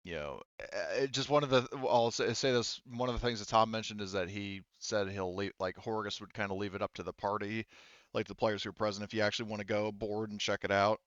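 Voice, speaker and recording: A man running an online tabletop game, narrating casually in a halting, informal way with some swearing, over voice-chat audio. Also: on the low side, fairly even intonation, a bit bright, no room sound, lo-fi sound. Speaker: game master.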